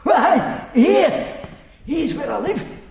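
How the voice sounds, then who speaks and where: An actor playing an excitable, devout, childlike drifter who speaks with simple, eager, scripture-quoting fervour in a radio drama. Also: male voice, very high-pitched, extremely slow, creaky, slight background noise, full character voice. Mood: eager, excited. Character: excitable, devout, childlike former hospital patient living rough. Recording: very echoey, roomy space.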